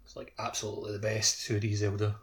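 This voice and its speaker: A man's voice: on the low side, completely flat delivery, fast talker, slightly rough. A man talking casually as a panelist in a podcast discussion.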